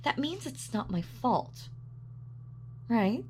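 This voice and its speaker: A woman's voice: a little high, sing-song, slow pace, darkish tone, a little hiss or hum. A woman playing a sadistic, gloating female supervillain in a scripted roleplay audio, taunting and savoring her words theatrically. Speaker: voice actor.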